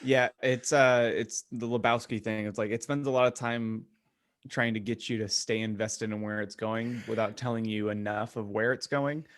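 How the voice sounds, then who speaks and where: Low-voiced man chatting on a podcast. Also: fairly even intonation, normal speed, no echo, studio quality. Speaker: podcast co-host. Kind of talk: casual chat about reading.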